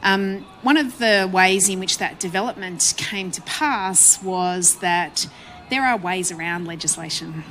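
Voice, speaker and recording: An Australian woman speaking on a public panel, chatty and relaxed, with many ums. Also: medium pitch, unhurried, soft background music. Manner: chatty, frequent ums, laughs easily. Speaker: panel guest, council presiding member. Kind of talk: panel discussion talk.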